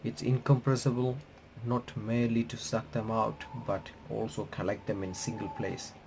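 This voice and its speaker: A man doing a casual visual novel playthrough, reading the on-screen text aloud in a halting, stumbling way and reacting as he goes. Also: on the low side, level tone, vocal fry, background noise. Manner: halting, stumbling reader who rereads phrases.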